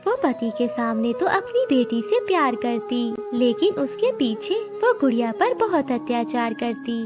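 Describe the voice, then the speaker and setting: A woman's voice: on the high side, big pitch swings, measured pace. A woman narrating a scripted children's cartoon story, with music behind her voice.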